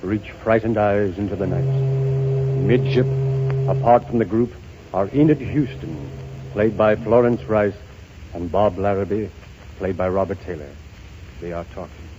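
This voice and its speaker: A man narrating a 1930s radio drama in a solemn, deliberate scene-setting style. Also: on the low side, warm tone. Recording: muffled, hissy 1930s radio broadcast.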